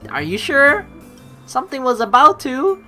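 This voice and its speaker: A woman's voice: high voice, very animated, a little slow, over music. A young woman doing a playful let's play, switching between casual commentary and theatrical narration read aloud.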